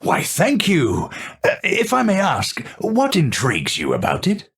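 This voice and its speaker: An elderly male scientist from a video game, with a creaky, husky voice. He is gentle and formal. His delivery swings widely in pitch as he drifts off in absent-minded wonder. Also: wildly swooping pitch, exaggerated voice. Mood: courteous, delighted. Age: older. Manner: courteous, formal, halting, easily distracted. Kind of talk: video game character voice lines.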